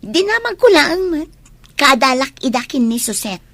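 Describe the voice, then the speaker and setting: A woman's voice: sits high, sing-song, moderate pace, fairly bright tone, no echo, heavily acted. An actress playing an inquisitive young woman in a radio comedy drama, with an Ilocano Filipino accent. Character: inquisitive young unmarried woman, politely probing. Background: music.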